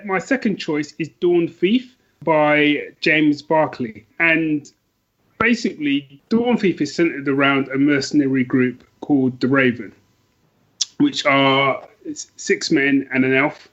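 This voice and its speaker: A man's voice: a little high, a little slow, fairly bright tone. A man chatting casually as a podcast guest about books, enthusiastic and rambling as he corrects himself, on dull, lo-fi audio. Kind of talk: casual conversational book chat.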